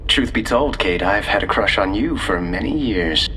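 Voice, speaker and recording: An actor playing a dignified young crown prince in a video game, speaking in a measured, courtly, smooth manner. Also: male voice, lowish voice, expressive, bright, forceful tone, slight background noise. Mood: suave, composed.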